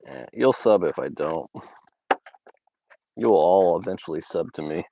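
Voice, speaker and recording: A man's voice: sits low, animated, measured pace, vocal fry, warm tone, a little hiss or hum, rough recording. A young man from Georgia in the US South, casually rambling on a livestream with lots of fillers and little laughs. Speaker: livestream host. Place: outdoors.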